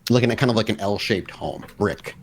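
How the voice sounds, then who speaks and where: A man narrating a tabletop roleplaying game as storyteller, describing scenes off the cuff with pauses. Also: lowish voice, slight background noise.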